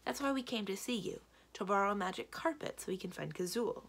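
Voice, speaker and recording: A woman's voice: medium pitch, fairly quick, vocal fry, breathy edge, pitched above the performer's own voice. A woman voicing an earnest, forthright young princess in a storybook. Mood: flustered.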